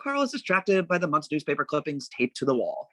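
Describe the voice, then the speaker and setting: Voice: medium pitch, sing-song, fairly quick, a bit bright, no room sound. A narrator reading screenplay stage directions aloud for a table read in a plain, descriptive manner.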